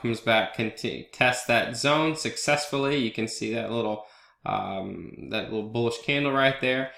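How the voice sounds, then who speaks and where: Bright-voiced man with a head cold. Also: mid-range voice, roomy sound. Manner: conversational, loose, with fillers and asides like "right?". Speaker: trader hosting a market analysis video blog. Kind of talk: casual chart walkthrough over a screen recording.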